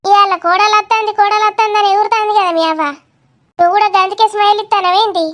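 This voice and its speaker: A woman's voice: very high-pitched, little pitch movement, fast, fairly bright tone. A woman voicing a nosy, grumbling village aunt in a cartoon comedy, with a Telangana Telugu accent and a blunt, gossipy way of talking. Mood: teasing, prying.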